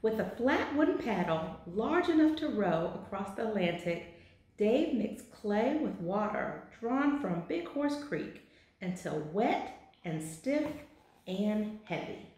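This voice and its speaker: A woman in her forties reading a children's picture book aloud with deliberate, expressive phrasing in an office. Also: mid-range voice. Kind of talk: children's storybook read-aloud. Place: small indoor office. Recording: very echoey, roomy sound.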